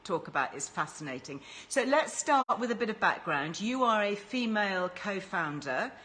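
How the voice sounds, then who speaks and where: A woman's voice: medium pitch, very animated, normal speed, fairly bright tone. A woman hosting a casual live-stream chat in an upbeat, encouraging way. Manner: chatty, enthusiastic, encouraging. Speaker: host.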